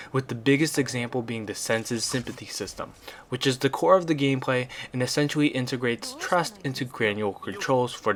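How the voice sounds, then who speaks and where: A man's voice: mid-range voice, a bit bright. Man narrating a scripted review. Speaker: video game reviewer.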